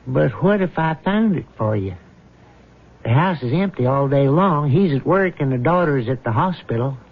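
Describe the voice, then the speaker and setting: A conniving small-town American crook in a 1970s radio drama. His fairly high-pitched voice is folksy and insinuating, with rough grammar. The recording is old and muffled. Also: lively intonation, moderate pace. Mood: sly, conniving.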